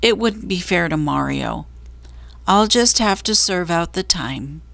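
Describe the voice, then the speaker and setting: A woman plays a storybook cricket who is honest and resigned. He speaks slowly and plainly, with an earnest, dutiful manner and a close, dry sound. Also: fairly low pitch, expressive, vocal fry, a bit bright, no room sound, exaggerated voice.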